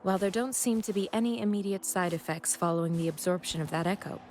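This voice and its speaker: A woman's voice: mid-range voice, fast, darkish tone, slight background noise, lightly acted. A woman voicing a composed, formal researcher in a video game, with a clinical, matter-of-fact manner.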